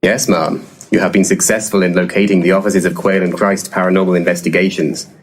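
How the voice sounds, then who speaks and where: A man's voice: deep voice, level tone, fast, lightly acted. An actor playing a pompous, verbose male paranormal investigator in a comedic audio drama, florid one moment and crude the next. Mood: gushing, florid. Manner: grandiloquent, verbose.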